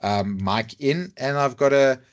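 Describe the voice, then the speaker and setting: A man's voice: medium pitch, unhurried, lo-fi sound. A man whose first language is Afrikaans, speaking English with an Afrikaans accent as a podcast guest, candid and self-deprecating, with hesitant restarts. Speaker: podcast guest, rugby YouTuber. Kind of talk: casual podcast chat. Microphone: lavalier mic.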